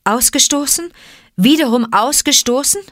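A woman's voice: sits high, expressive, moderate pace, a bit bright, no room sound, studio quality, pitched above the performer's own voice. A woman voicing a proud, defiant young boy raised by wolves in a storybook reading, calling out urgently. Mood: hurt, indignant.

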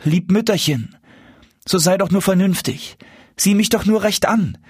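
A man's voice: fairly high pitch, very animated, a little slow, breathy edge, no room sound, studio quality, voice pushed up, full character voice. A bewildered storybook dwarf played by a man, tender and anxious, with a raised voice. He speaks earnestly, as if pleading to be recognised. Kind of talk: storybook character voice in a fairy tale reading.

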